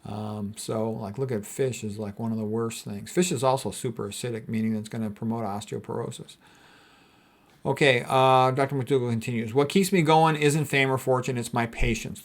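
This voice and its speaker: A man speaking as a doctor giving an informal lecture, explaining things in a blunt, conversational way as he reads and comments. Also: medium pitch.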